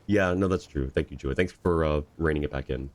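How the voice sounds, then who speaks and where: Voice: very deep voice, a little fast, a little mellow. An easygoing livestreamer chatting casually while reacting to a game and viewers. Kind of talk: casual game stream chat.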